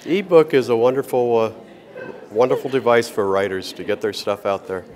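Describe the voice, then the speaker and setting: A man's voice: medium pitch, normal speed, darkish tone. An older man interviewing a guest in a casual, folksy and complimentary way.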